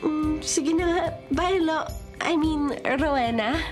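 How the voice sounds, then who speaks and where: A woman's voice: high-pitched, slow pace, over loud music. A Filipino actress playing a friendly, chatty woman co-worker in a radio drama, speaking Taglish. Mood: chatty, curious.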